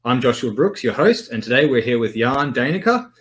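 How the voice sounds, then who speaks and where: An upbeat man in his thirties hosts an interview, encouraging his guest along. His voice sits at a middling pitch. He talks through a headset mic on a video call. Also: very animated, a little fast. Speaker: podcast host. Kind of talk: friendly interview hosting.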